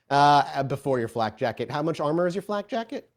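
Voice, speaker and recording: A man working as game master, improvising vivid narration and explaining rules in a conversational way. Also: fairly high pitch.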